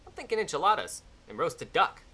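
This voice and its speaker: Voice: mid-range voice, big pitch swings, brisk, pushed and bright, slightly rough, heavily acted. An actor doing a flippant, breezy companion. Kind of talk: fan audio drama acting.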